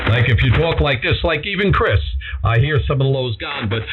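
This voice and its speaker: A man's voice: medium pitch, lively intonation, brisk, phone-quality sound, over music. A man chatting casually over amateur radio, rambling along with mildly salty exclamations, through a radio transmission. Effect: ham radio transmission. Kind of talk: casual on-air radio chat. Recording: band-limited ham radio transmission.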